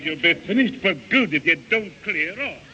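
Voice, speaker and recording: Exasperated amateur performer, high bright voice, muffled vintage radio comedy. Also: male voice, lively intonation, moderate pace, slight background noise, heavily acted. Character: exasperated adult male amateur concert performer. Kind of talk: old-time radio comedy acting. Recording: muffled old radio recording.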